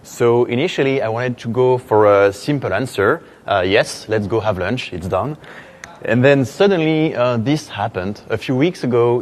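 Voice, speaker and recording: A man with a thick French accent speaks at a conference, loose and conversational, with plenty of "uh" and "um" and wry asides. His voice sits a bit low for a man. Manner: conversational, self-deprecating, many fillers. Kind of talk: technical conference talk. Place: conference hall.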